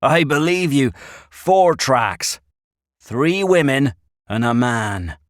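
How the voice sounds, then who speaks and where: An old rural English shepherd, acted by a male reader, full of grave warning. His folksy country dialect is emphatic and swings widely in pitch. The recording is dry and close, like a storybook told at the listener's side. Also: lively intonation, slow pace, dry recording. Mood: ominous.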